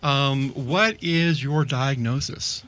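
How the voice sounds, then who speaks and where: Guy hosting local radio talk, mid pitch, upbeat and chatty, bit of hiss behind. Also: takes it slowly, a bit bright. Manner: scripted opening, then chatty and upbeat with the guest. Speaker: radio show host. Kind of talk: community radio interview.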